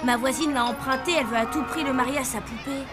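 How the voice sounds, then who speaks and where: A woman's voice: high voice, steady tone, quick pace, lightly acted. A woman voicing a loyal, chatty young schoolchild in a French cartoon dub. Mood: chatty, casual. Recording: loud music under the voice.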